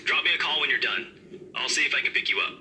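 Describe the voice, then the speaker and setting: Sharp, cocky young guy. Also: fairly high pitch, fast talker, soft background music. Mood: teasing. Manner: terse, teasing. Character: Ash, cocky teasing young man on phone. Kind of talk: visual novel game voice acting.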